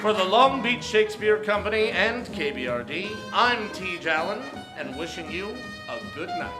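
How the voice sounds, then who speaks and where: High-voiced male announcer over loud music. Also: big pitch swings, moderate pace. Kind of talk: scripted radio-play credits announcement. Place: theater stage before a live audience. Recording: echoey theater, loud music and crowd.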